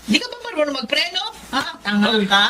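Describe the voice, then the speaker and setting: A woman's voice: high voice, sing-song, fairly quick, background noise. A woman playing a haughty, scolding rich girl. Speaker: boss's daughter, Olivia. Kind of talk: audio drama acting.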